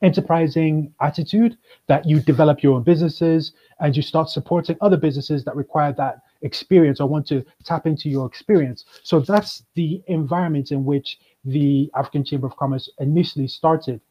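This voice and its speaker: A man, a guest speaking in a measured, explanatory way on a video call. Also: a little high. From Kenya. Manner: measured, explanatory, with frequent ums. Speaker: guest, chamber of commerce manager. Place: indoors, plain room. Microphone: webcam on video call. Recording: dull lo-fi video call audio.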